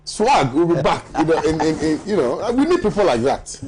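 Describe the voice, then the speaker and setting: A man's voice: fairly high pitch, big pitch swings, normal speed, a loud crowd. A man with a Ghanaian accent hosting a morning TV show, reading viewer messages aloud and bantering with his panel with frequent laughter. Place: TV studio.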